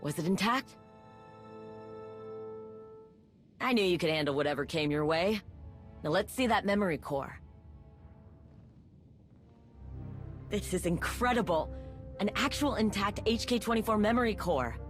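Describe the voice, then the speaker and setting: An actress playing a practical, determined tech ally in a sci-fi video game, brisk and matter-of-fact. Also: female voice, medium pitch, animated, faint music behind, lightly acted. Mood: excited. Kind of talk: video game dialogue acting.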